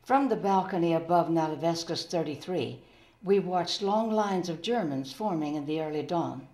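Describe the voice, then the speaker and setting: A resolute resistance fighter read by an older woman recounts in an earnest dramatic reading. The voice is fairly low for a woman and rings with room echo. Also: normal speed, a little mellow, close to an everyday voice. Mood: grave, resolute. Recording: roomy echo.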